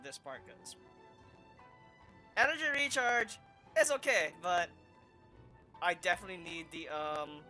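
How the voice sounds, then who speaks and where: A man giving casual, unscripted gameplay commentary as a streamer, halting as he thinks aloud. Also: high voice, sharp tone. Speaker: gaming streamer. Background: game music.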